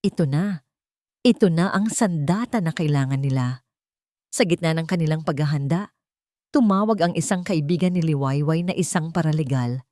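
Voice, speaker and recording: A woman narrating a scripted dramatic story in a measured delivery. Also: deep voice, dark tone, no room sound, studio quality.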